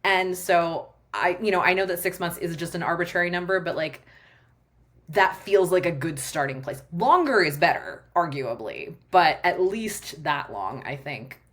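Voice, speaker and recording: Frank, lower-voiced woman guest. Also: moderate pace. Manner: frank, animated, casual swearing. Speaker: guest, sex and relationship coach. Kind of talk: candid podcast conversation.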